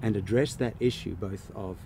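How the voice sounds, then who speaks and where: A man giving measured interview answers as a filmmaker, with deliberate, rather formal phrasing. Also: fairly low pitch, gravelly, noisy background.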